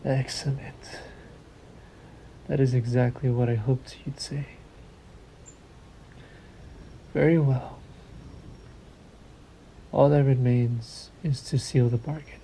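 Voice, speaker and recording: A man's voice: medium pitch, fairly even intonation, takes it slowly, creaky, long pauses, dark tone, a little hiss or hum, rough recording. A man playing a centuries-old vampire in a roleplay audio drama, sadistic and possessive, with formal, silky menace and condescending endearments. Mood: commanding.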